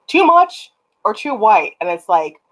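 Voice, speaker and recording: A young woman from Canada, a guest writer on a video call, speaking reflectively with many pauses and ums. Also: mid-range voice, big pitch swings, fairly bright tone, rough recording. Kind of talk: casual video call chat. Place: home room on a video call.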